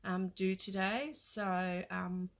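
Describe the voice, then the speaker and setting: Middle-aged female, Australian accent, mid pitch, slightly croaky with a cold. Hesitant, informal craft demonstrator chatting on a livestream. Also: flat delivery, slow pace. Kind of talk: casual livestream chat. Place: small home craft room.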